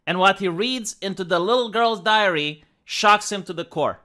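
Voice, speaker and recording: A man with a Romanian accent talks straight to his audience in an earnest, emphatic commentary. His voice sits high and sounds bright and forceful. He often restarts words and leans on "like" as he pushes his point. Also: moderate pace. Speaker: online commentator. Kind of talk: commentary video monologue.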